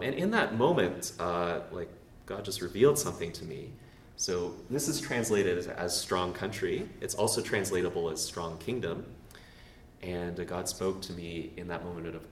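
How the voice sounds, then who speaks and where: A young man with a notably low voice gives a relaxed talk in a hall, laughing at himself and filling pauses with "um" and "uh." He stands well back from the microphone, so his words ring in the roomy space. A faint hum sits under his speech. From Canada. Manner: casual and self-deprecating, with many fillers. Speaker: presenter. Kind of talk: church talk with slides. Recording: roomy, far from the mic, faint noise.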